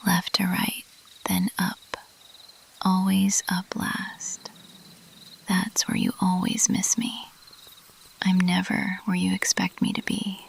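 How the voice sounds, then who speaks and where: A woman voicing a mischievous, playfully elusive bat monster girl in a teasing, intimate, breathy whisper for an ASMR roleplay. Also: lowish voice, full character voice. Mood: teasing, admiring. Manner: slow, teasing, intimate, lingering on pauses. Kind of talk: ASMR roleplay, addressed to the listener.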